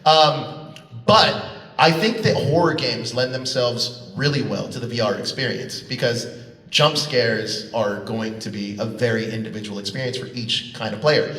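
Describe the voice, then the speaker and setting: A man hosts a live panel in a casual, chatty manner. His voice sits at an average pitch. It comes through with strong echo and some distance, as in a large convention hall. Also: normal speed, bright, forceful tone. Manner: chatty, informal. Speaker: panel moderator. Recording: echoey hall, distant PA sound.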